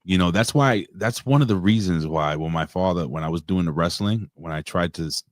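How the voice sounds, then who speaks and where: Deep-voiced man in his forties, swearing. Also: fairly quick, no room sound. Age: 40s. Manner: profane, rambling storyteller with many restarts. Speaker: guest. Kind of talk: casual chat.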